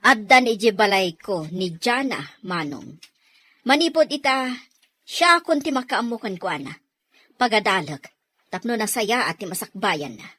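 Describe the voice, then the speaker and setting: A woman's voice: medium pitch, big pitch swings, normal speed, sharp tone. A woman playing a righteous, reproving aunt in a radio drama, earnest and moralizing, with an Ilocano Filipino accent. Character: righteous aunt, reproving younger sister of the father.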